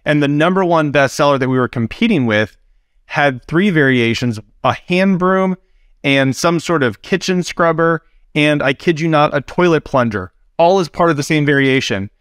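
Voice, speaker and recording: A man working as a consultant on a business podcast, his voice rough and a bit deeper from a recent cold, speaking frankly and with animation. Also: expressive, normal speed, fairly bright tone, no echo, clean studio sound. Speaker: consultant, co-host. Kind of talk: casual business podcast chat.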